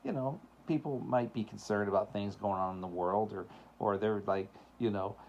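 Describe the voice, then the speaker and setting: A man's voice: on the low side, normal speed, a little mellow, dull audio, a little hiss or hum. A middle-aged man hosting his own show, talking in a rambling, casual monologue full of fillers and laughs, his voice cracking at times. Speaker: show host.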